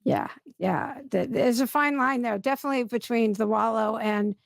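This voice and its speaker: A woman hosting a podcast, chatting casually and informally in a halting, conversational way. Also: a little high, creaky, dry recording, studio quality. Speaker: podcast host.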